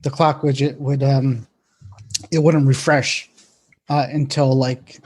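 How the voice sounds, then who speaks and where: A man's voice: medium pitch, little pitch movement, takes it slowly, a little mellow, a little hiss or hum. A man co-hosting a casual tech chat in an informal, loosely phrased way. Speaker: podcast co-host.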